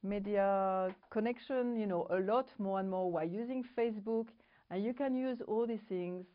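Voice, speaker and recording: A woman's voice: medium pitch, dull audio. A middle-aged French woman with a French accent, co-hosting a TV programme and talking casually to camera, searching for words now and then. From France. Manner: halting, searching for English words. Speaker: co-host. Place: studio set with bookshelves. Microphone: lapel.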